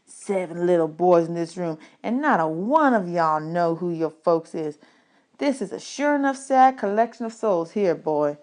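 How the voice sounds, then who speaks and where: A woman's voice: fairly low pitch, lively intonation, moderate pace, darkish tone, lightly acted. Woman playing a drawling, taunting boy bully. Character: boastful sneering boy bully in an orphanage.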